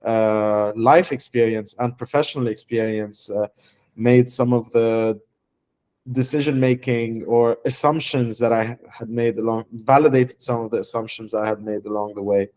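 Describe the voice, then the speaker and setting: A young man from Lebanon, a startup founder giving a testimonial interview, speaking haltingly with many fillers and restarts. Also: mid-range voice, fairly even intonation, a little mellow.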